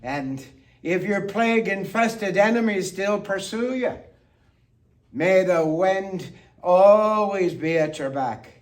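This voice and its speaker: Male monologue performer, high voice, playful tipsy toastmaster delivery with deliberate pauses, picked up at a distance. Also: lively intonation. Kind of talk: theatrical toast-making monologue. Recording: distant microphone.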